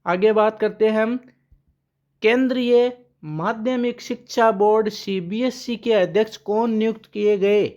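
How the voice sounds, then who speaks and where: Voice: medium pitch, measured pace, a little mellow, muffled recording. A current affairs teacher giving an exam-prep lesson with memory tricks, who asks questions aloud, answers them and repeats the key names.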